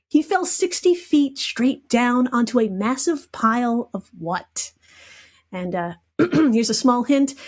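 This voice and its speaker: A woman with a fairly high voice runs a comedic trivia quiz. She moves between reading questions and chatting casually, with a playful storytelling manner. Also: a little mellow. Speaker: podcast guest quizmaster.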